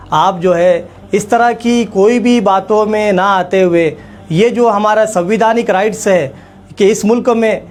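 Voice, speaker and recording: A man speaks in a notably high-pitched voice. He delivers a formal public statement as a leader would, with emphatic phrasing and pointed repetition. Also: a little mellow. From India. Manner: emphatic, repeats phrases for effect. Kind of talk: formal political address to camera.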